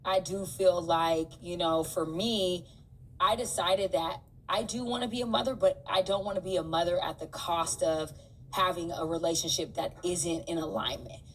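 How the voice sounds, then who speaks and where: A woman's voice: on the low side, normal speed. An older woman chatting casually on a podcast, talkative and laid-back. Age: older adult. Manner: talkative, laid-back, says she is loud. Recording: distant mic with faint room noise.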